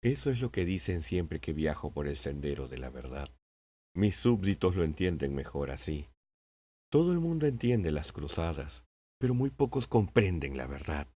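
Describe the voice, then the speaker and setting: A man playing a wise, patient old king in an audiobook, speaking in a measured, sententious way. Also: a bass voice, very animated, mellow, exaggerated voice. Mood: calm, teaching. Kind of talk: audiobook character acting.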